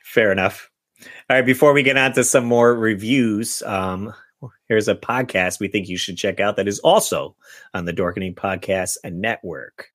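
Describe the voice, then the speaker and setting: A man's voice: on the low side, moderate pace, fairly bright tone, dry recording, clean studio sound. A man hosting a podcast, chatting casually and reading out film reviews. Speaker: podcast host.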